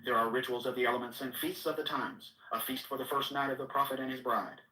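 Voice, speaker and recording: A man's voice: medium pitch, fairly even intonation, fast talker, bright, forceful tone. A man giving a solemn, declamatory reading of archaic mystical scripture. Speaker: reader. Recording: echoey room, distant mic.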